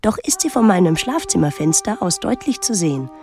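Young island woman narrating radio drama, reflective n lyrical, measured, music behind her. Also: lowish voice, very animated, dark tone. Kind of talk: literary first-person radio drama narration. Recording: music under the voice.

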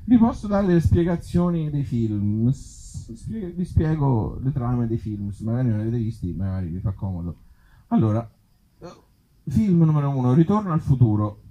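A man performs comic short stories live. His voice is very mellow and a little husky, with animated swings in pitch. He slips often from theatrical storytelling into conversational asides to his listeners. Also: moderate pace, low-quality recording. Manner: theatrical storytelling broken by self-deprecating asides to the audience. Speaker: author and actor reading his own short stories live. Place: live outdoor festival event before an audience.

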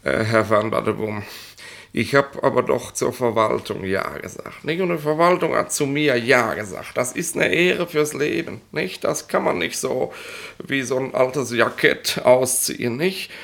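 An actor in a German radio play playing a bossy, nosy, smug middle-aged police inspector who rambles colloquially. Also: male voice, mid-range voice, a little slow, vocal fry, fairly bright tone. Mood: self-important. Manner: colloquial, rambling, officious, tag questions.

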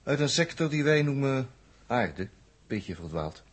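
Husky, wry, animated space traveller. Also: male voice, mid-range voice, measured pace. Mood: wry, teasing. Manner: sardonic, teasing, casual. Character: wry, defiant male space traveller from Earth, a prisoner. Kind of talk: 1960s Dutch radio drama acting. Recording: old 1960s radio recording.

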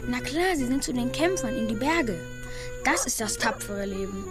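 Radio play child, played by a woman: eager, admiring, high voice, loud music behind. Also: lively intonation, normal speed, close to an everyday voice. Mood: excited, admiring. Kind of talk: radio drama acting.

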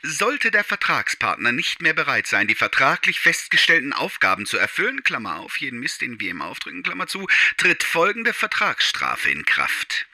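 A man's voice: mid-range voice, wildly swooping pitch, normal speed, hardly any pauses, sharp tone, no room sound, exaggerated voice. An actor playing a sardonic, officious male producer in a comedy audio play, dry and needling. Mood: smugly officious. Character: bossy, sardonic male producer keeping the narrator in line.

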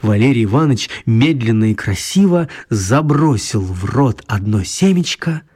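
A man narrating a radio play for children in a wry, storytelling manner, with a husky voice. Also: medium pitch, expressive, normal speed, a little mellow.

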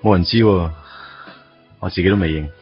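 A man playing a soft-hearted, sardonic young lover in a Hong Kong Cantonese radio drama, in an understated way. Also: deep voice, steady tone, brisk, breathy edge. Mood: gentle. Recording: muffled, guitar music underneath.

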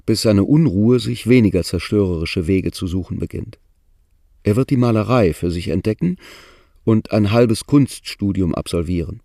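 An actor playing a matter-of-fact chronicler in a radio drama, narrating in a sober, measured, literary style. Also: male voice, on the low side, mellow, no room sound, studio quality, close to an everyday voice.

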